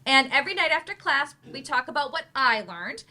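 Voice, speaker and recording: A woman's voice: sits high, sing-song, fairly quick, sharp tone, exaggerated voice. An actress in a live play reading, playing an earnest, eager mother in an adult remedial class. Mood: candid, upbeat. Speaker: actor in a staged play reading.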